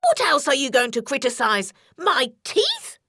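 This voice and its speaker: A woman voicing a sly male wolf who imitates an old granny in a drawn-out, testy falsetto for a children's story. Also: huge pitch swings, bright, forceful tone, no room sound, clean studio sound, exaggerated voice. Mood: offended, angry.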